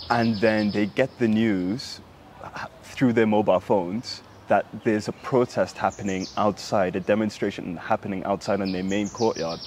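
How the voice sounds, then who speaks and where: A young man from Sudan speaks in a low register, answering interview questions thoughtfully. His delivery halts often with fillers and restarts, and a faint hiss sits under the voice. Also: a little mellow. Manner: thoughtful, halting, many fillers. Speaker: interviewee, human rights activist.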